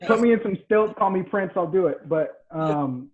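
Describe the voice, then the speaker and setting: Higher-voiced man, polite joker, tinny phone-like audio. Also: animated, moderate pace. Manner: polite and joking. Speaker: actor. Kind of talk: casual video-call meeting talk.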